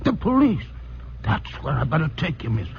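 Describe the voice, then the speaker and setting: A man's voice: on the high side, wildly swooping pitch, normal speed, gravelly, slight background noise, exaggerated voice. An actor playing a kindly, streetwise man with slangy, ungrammatical American speech in an old radio drama. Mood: gentle, coaxing. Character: kindly, folksy streetwise man taken for Santa Claus. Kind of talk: 1940s-50s American radio drama acting. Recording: muffled old radio recording with music.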